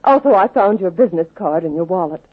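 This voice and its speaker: A woman's voice: fairly low pitch, expressive, fast talker, breathy edge. An actress playing a cool, self-assured woman in trouble in an old-time radio drama, with a knowing manner. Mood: cool confidence. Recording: tinny old radio recording.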